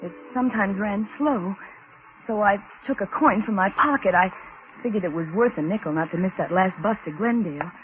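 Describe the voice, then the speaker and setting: Noisy old-radio recording, weary young American car hop, played by an actress. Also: female voice, mid-range voice, normal speed, lightly acted. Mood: confiding, uneasy. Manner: wry, confiding, working-class plain talk. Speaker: narrator and lead character. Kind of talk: 1940s radio suspense acting, first-person narration.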